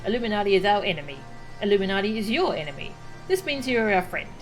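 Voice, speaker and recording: A woman's voice: mid-range voice, big pitch swings, quick pace, soft background music, lightly acted, low-quality recording. A woman voicing a friendly male goblin quest giver who talks in simple broken grammar. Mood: earnest.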